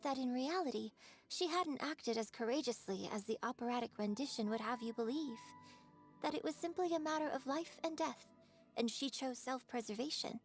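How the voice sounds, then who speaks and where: A woman's voice: high voice, moderate pace. A woman playing a courteous young opera performer in a fantasy video game, speaking in a formal, reflective manner. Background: game music.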